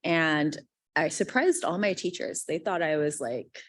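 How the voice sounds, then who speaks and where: A woman's voice: sits low, measured pace, dry recording. A woman in her thirties from Guam, telling her story on a panel in a chatty way, often saying "like" and acting out quoted lines. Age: 30s. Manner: chatty, heavy use of "like", acts out quoted lines.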